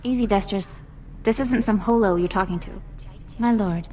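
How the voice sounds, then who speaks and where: A woman's voice: medium pitch, moderate pace, a little mellow, a little hiss or hum, close to an everyday voice. Earnest, respectful female follower played by an actress. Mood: assured. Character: earnest, deferential, sharp-witted young cultist follower.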